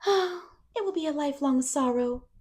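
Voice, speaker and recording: A woman's voice: sits high, moderate pace, a little mellow, pitched above the performer's own voice, natural voice. A woman voicing a talkative, dreamy eleven-year-old orphan girl with effusive wonder and dramatic emphasis, in a storybook reading. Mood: dramatically rueful.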